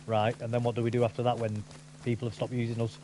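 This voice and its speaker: Rambling opinionated man, fast. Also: mid-range voice, flat delivery, poor audio. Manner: rambling, opinionated, many restarts and fillers. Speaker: gamer chatting with a friend. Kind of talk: casual chat over gameplay.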